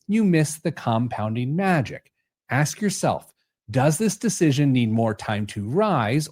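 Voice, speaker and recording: A man presents a scripted solo podcast monologue with a highly varied, swooping intonation. His manner is conversational and wry, with a joking slant to the delivery. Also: a little mellow. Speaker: podcast host. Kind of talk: scripted personal finance monologue.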